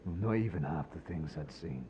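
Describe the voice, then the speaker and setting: A man's voice: rumbling low, lively intonation, moderate pace, breathy edge, muffled recording, voice pushed down, heavily acted. A man acting a bitter, despairing man who has lost all faith, brooding and sardonic, in a fantasy audio drama. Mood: haunted, despairing. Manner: brooding, sardonic, rhetorical questioning.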